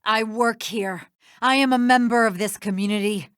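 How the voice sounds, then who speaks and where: A woman's voice: fairly high pitch, normal speed, a bit bright, clean tone, no echo, clean studio sound, natural voice. An actress playing a smug, defiant woman schemer in a mystery video game, haughty and self-righteous. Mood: defiant, indignant.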